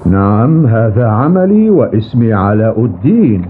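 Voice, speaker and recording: A man's voice: on the low side, moderate pace, a little hiss or hum. An actor playing an old, testy and rueful ferryman telling his story in a dubbed cartoon. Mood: reminiscing. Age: older. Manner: expressive, grumbling, storytelling. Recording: muffled tinny cartoon soundtrack with music.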